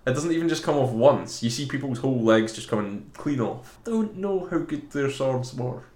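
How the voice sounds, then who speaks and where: A man's voice: mid-range voice, lively intonation, moderate pace, darkish tone, echoey room. A man co-hosting a casual film commentary chat, joking and laughing often. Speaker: podcast co-host.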